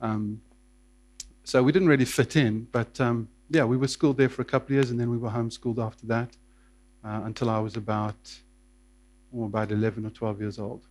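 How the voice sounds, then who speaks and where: A man's voice: medium pitch, steady tone, fairly quick, a little mellow. A man, telling his life story to a church in a casual, hesitant way with many fillers. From South Africa. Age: 30s. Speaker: interview guest.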